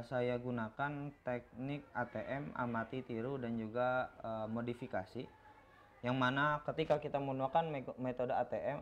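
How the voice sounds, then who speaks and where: A man giving an informal software tutorial, explaining in a halting, self-repeating way as a teacher. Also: mid-range voice. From Indonesia. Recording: dull lo-fi microphone, clear background noise.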